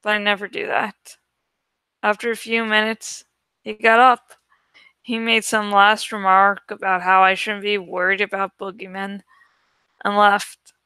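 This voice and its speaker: A woman reading a first-person novel aloud in an expressive, dramatic way. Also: mid-range voice, unhurried, clear, resonant tone, dry recording. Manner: expressive, acts out the story. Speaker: audiobook reader.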